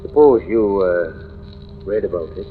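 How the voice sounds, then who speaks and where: A man's voice: low voice, lively intonation, slow pace. An actor playing an over-helpful, unsettling building workman in an old-time radio thriller, folksy and insistent with odd hesitations. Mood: eerily casual. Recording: noisy, tinny old radio recording.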